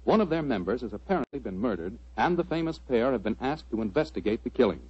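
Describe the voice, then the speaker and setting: A man in the classic 1940s radio announcer style. His voice is slightly breathy, in a middle register, with a salesmanlike delivery. The recording is muffled and dry. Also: mid-range voice, very animated, fast, a little mellow, no echo. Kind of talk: 1940s radio sponsor announcing and scripted narration.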